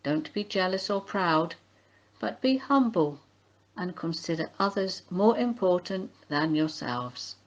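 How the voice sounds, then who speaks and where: A woman with a slightly low voice reads scripture aloud. She goes very slowly, phrase by phrase. The recording is somewhat dull and lo-fi. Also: animated. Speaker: Bible reader.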